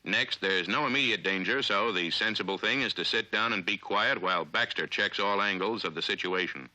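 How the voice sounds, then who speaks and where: An American man narrating a 1950s instructional film in an authoritative, clipped, deliberate manner. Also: lowish voice, bright, forceful tone, no echo, rough recording.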